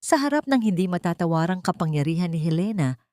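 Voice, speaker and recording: A woman narrating a scripted drama story in a measured, emphatic, theatrical way. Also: lowish voice, expressive, dark tone, no echo, clean studio sound.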